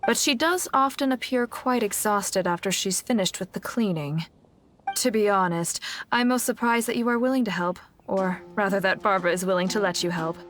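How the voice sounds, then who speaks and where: A woman voicing a kindly senior church sister in an anime game, measured, formal and caring. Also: medium pitch, a bit bright, natural voice. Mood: concerned, polite. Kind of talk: anime game character voice acting. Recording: music behind the voice. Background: game music.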